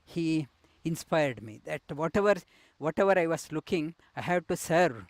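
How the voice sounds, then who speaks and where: A middle-aged man from India, a yoga teacher, telling his life story with many pauses, fillers and restarts. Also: a little high, lively intonation, no room sound. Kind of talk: personal life story interview.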